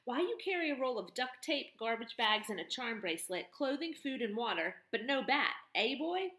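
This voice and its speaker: Woman doing a fierce one-legged lady, sly and needling, loud and high, echoey room. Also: big pitch swings, normal speed. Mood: interrogating. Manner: sharp, mocking, interrogating. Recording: roomy echo.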